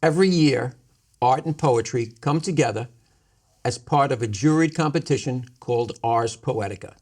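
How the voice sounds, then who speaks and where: A man's voice: medium pitch, a little mellow. A man hosting and interviewing a guest on a community television show. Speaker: musician. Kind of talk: public-access TV interview.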